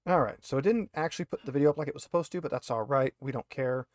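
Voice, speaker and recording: A man's voice: medium pitch, rapid-fire, no room sound. A man acting as game master for an online tabletop roleplaying game, explaining things informally.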